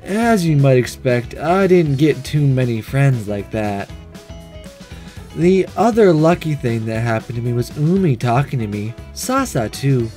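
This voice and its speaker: A man's voice: fairly high pitch, mellow. A man voicing a sleepy, detached schoolgirl who narrates a visual novel in a weary, blunt, matter-of-fact way. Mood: weary, resigned.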